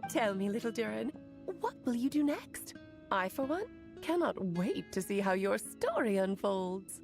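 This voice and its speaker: A woman's voice: mid-range voice, very animated, unhurried, vocal fry, darkish tone, faint music behind. A woman playing an elegant, playful, scheming witch in a video game, speaking in a theatrical, refined, teasing manner. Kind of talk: video game character acting.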